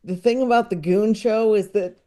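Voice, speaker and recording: A man's voice: high-pitched, fairly even intonation, normal speed, warm tone. A man chatting casually as an interview guest, hesitant and rambling, with a self-deprecating way of talking. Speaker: podcast guest, comedy writer and filmmaker.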